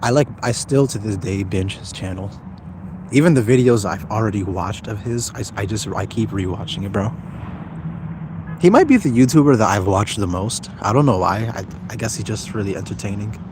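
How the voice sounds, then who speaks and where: Low-voiced young man chatting over loud noise. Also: lively intonation. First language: Somali speaker, as second language. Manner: informal slangy, halting, thinks aloud. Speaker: YouTuber live-streaming. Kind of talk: casual livestream chat answering viewer comments.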